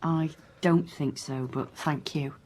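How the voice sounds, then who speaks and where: A female detective inspector with a slightly low voice. She is guarded and firm, with a dry, weary edge. The delivery is naturalistic radio drama, measured and understated. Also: steady tone, a little mellow. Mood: subdued, hesitant. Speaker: actor playing a police detective.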